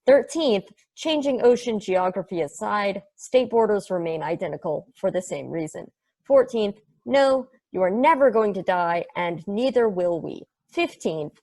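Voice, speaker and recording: A woman's voice: mid-range voice, lively intonation, a little slow, a little mellow. A woman playing Juice's part in an amateur play reading, a chatty, blunt space probe with a casual, profane way of explaining things. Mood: brisk, exasperated. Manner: casual, profane, rattles through a numbered list. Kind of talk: amateur play table read.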